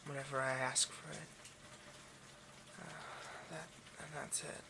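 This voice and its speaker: A man playing a possessive, condescending rich vampire in an intimate ASMR roleplay, domineering and hushed, often slipping into a whisper. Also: fairly high pitch, steady tone, takes it slowly, background noise. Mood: smugly protective.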